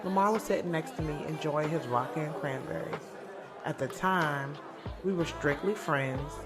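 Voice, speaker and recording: A woman's voice: low voice, moderate pace, a loud crowd, close to an everyday voice. Actress as a sassy, slangy young woman over music. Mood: wistful, amused. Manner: casual, slangy, conversational, swearing freely. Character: sassy, candid young woman recalling an office romance. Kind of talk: audio drama first-person narration and dialogue.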